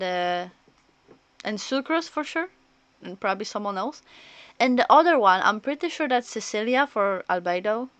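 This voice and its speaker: Female game streamer, slightly high voice, casual hesitant chatter, close dry recording. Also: a bit bright, clean tone, no room sound, dull audio. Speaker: livestreamer.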